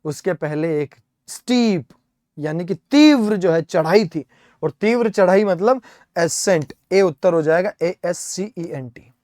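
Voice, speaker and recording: A man's voice: high-pitched, expressive, a little slow, darkish tone, no room sound, clean studio sound. Indian man teaching English vocabulary. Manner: explains step by step, spells words letter by letter, keeps asking "है ना?". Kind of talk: exam-prep vocabulary lesson.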